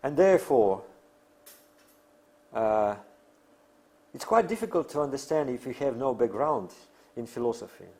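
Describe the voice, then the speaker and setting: A man who is a non-native English speaker lecturing on theory in halting, deliberate phrases with emphatic pauses. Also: medium pitch, lively intonation, warm tone. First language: not English. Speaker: academic lecturer.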